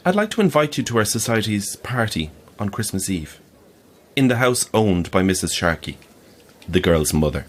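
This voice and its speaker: A low-voiced, earnest man who believes in the afterlife. His delivery is formal and solemn, with lively rises and falls, over clearly noisy room ambience. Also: normal speed. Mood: insistent, apologetic. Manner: formal, apologetic, storyteller's solemn phrasing. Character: earnest, insistent male afterlife-society believer telling ghostly stories. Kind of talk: audio drama acting.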